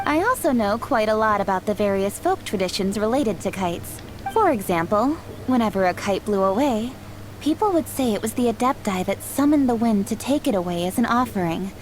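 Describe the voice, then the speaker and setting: An actress voicing a polite, soft-spoken young woman in a game who explains traditions in a measured, formal way. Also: medium pitch, animated, a little hiss or hum, close to an everyday voice, poor audio. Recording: game music behind.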